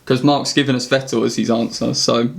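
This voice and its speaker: A man's voice: medium pitch, little pitch movement, fairly quick. A man casually hosting an online quiz, addressing the contestants on a group call. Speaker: quiz host. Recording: clearly noisy mic with clicks.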